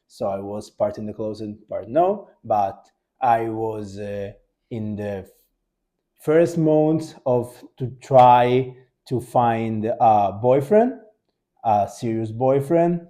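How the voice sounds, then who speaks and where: A man's voice: on the low side, expressive, slow, dark tone. A young man from Sicily with an Italian accent, chatting casually as co-host and searching for his English words. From Sicily, Italy. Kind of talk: casual couple chat.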